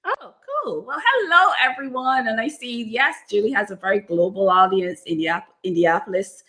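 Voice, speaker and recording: A woman's voice: mid-range voice, moderate pace, pushed and bright. A woman with a Barbadian accent, a guest author and coach on an interview podcast, explaining at length with occasional fillers.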